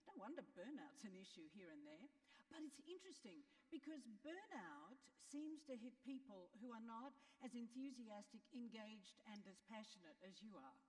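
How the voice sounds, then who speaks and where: A middle-aged woman with an Australian accent giving a joking, lively keynote to an audience in a large hall. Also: on the high side, moderate pace. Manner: lively, joking, talks straight to the crowd. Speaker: keynote speaker. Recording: long hall reverb.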